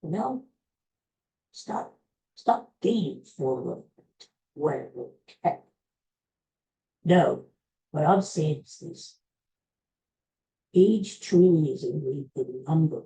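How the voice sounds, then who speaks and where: A woman talking slowly through a solo podcast, her voice mellow and a little low. She rambles with folksy advice, restarting her sentences and speaking straight to her listeners. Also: animated, creaky, low-quality recording. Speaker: podcast host. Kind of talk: casual solo podcast monologue.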